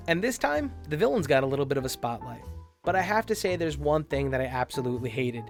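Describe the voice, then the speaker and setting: A man with a voice a little higher than most talks through an episode like an online reviewer. His delivery is informal and chatty, loose enough for the odd swear word. Light music plays underneath. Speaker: YouTube anime reviewer. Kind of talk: casual episode recap commentary.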